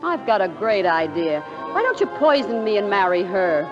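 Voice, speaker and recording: A woman's voice: mid-range voice, big pitch swings, moderate pace, natural voice. Spirited, sarcastic young wife played by a woman, swooping delivery, old radio comedy, dull recording with music. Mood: sarcastic complaining. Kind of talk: 1940s radio comedy acting.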